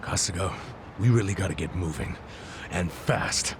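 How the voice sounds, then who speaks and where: An actor playing a world-weary, wry and loyal middle-aged sidekick in a video game dub. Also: male voice, sits low, normal speed, gravelly, background noise. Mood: wary.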